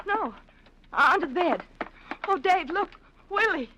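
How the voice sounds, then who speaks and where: An actress playing a frightened young niece drawn into a murder, in 1940s radio-drama style, stammering and hesitant. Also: female voice, very high voice, expressive, a little slow, lo-fi sound, background noise. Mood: fearful.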